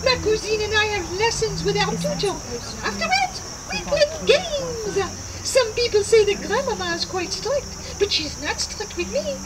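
A woman's voice: very high voice, big pitch swings, normal speed, hardly any pauses. A woman voicing a brave, earnest eight-year-old French aristocrat boy in a puppet show, with grandiose, formal declarations. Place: outdoor puppet theater at a reenactment. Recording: very noisy outdoor recording with insects.

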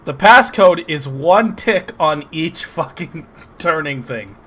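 A man giving casual, excitable, sarcastic commentary over a video game playthrough, swearing often. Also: a little high, takes it slowly, husky. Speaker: gameplay commentator. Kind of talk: casual let's play commentary.

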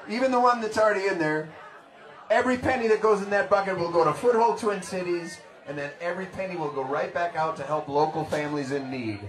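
A man's voice: high voice, fast, a bit bright. A middle-aged man fronting a band, chatting to the crowd between songs on a tavern stage with chuckling asides. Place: small tavern stage, indoors. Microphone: stage vocal mic, picked up by a camera in the room. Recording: echoey bar, distant, band and crowd noise.

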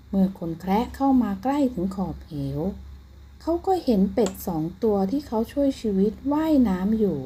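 A woman's voice: medium pitch, dark tone, slight background noise. A woman narrating a scripted children's bedtime fairy tale as a storyteller.

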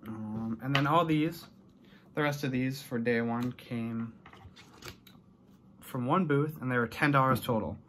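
A man's voice: medium pitch, unhurried, long pauses, fairly bright tone, slight background noise. A young adult American man chatting casually and unscripted to camera in a rambling way, as he shows off a haul in a small room. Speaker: vlogger showing a collection haul. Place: small bedroom, indoors.

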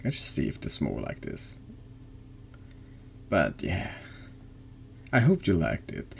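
Extremely deep, gravelly man's voice. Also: huge pitch swings, slow pace, slight background noise. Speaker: streamer, game reader. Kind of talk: slow dramatic reading of a horror text game and casual chat.

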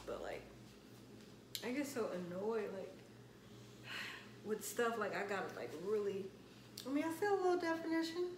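A woman's voice: mid-range voice, background noise. A young woman chatting casually to her phone camera at home and in her car, rambling with lots of "like" fillers. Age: young adult. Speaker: vlogger. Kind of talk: casual vlog chat. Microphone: handheld phone camera.

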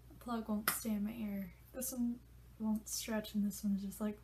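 A woman's voice: medium pitch, little pitch movement, normal speed, noisy background. A young woman in her twenties, congested, chatting casually and loosely to camera in a small bedroom, swearing freely. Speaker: vlogger.

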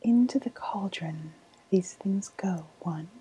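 Female performer as woodland witch for ASMR roleplay: very breathy, very slow, eerily sweet and foreboding. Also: medium pitch. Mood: hushed, pleased. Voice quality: breathy, half-whispered. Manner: slow, deliberate, hushed, ominous. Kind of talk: softly spoken ASMR roleplay.

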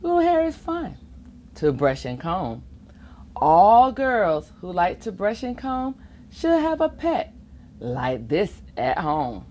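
A middle-aged woman reading a children's picture book aloud in an expressive sing-song way, with playful asides. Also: fairly low pitch, slow. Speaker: volunteer children's storybook reader. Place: school or public library children's corner, indoors. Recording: faint room ambience noise.

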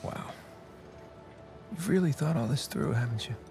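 A man's voice: on the high side, expressive, normal speed, dark tone. A man playing an easygoing, wry middle-aged American adventurer in a naturalistic video game cutscene, bantering and sarcastic. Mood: skeptical. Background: music.

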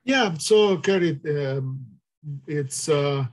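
A man's voice: on the high side, animated, very slow. A middle-aged man with an Italian accent answering audience questions in an online talk, hesitant with many fillers, heard over a video call. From Italy. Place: indoors, virtual background.

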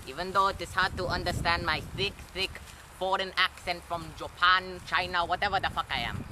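A teenage boy playing a foul-mouthed, boastful anime-fan villain in a mock foreign accent, in a homemade outdoor skit. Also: sits high. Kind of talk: homemade amateur video skit. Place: outdoors on a suburban lawn. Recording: noisy outdoor camera audio.

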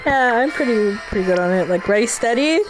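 A woman's voice: fairly high pitch, expressive, brisk, low-quality recording. A young adult woman giving casual, slangy game commentary with offhand swearing. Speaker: game streamer. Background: game music.

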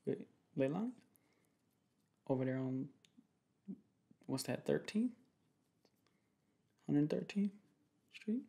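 A young man speaking in a casual, slangy, halting way in an ASMR boyfriend roleplay. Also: a little high, animated, creaky, mellow. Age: young adult. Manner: slangy, laid-back, halting with repeated phrases. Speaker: ASMR creator playing a protective boyfriend. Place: small home room, indoors.

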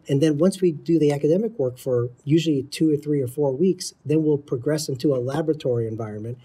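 A man's voice: medium pitch, dark tone, husky. A middle-aged man explaining training methodically, as an instructor answering interview questions. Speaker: air traffic control instructor, interview guest.

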